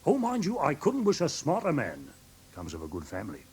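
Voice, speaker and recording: An English actor playing a naive, self-important pawnbroker in a classic radio drama, flustered and full of exclamations, with a rough husky voice. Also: male voice, fairly high pitch, wildly swooping pitch, a little fast, darkish tone, a little hiss or hum. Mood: rambling, earnest. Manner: flustered, hesitant, emphatic exclamations. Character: excitable, self-important small-business pawnbroker, naive working man.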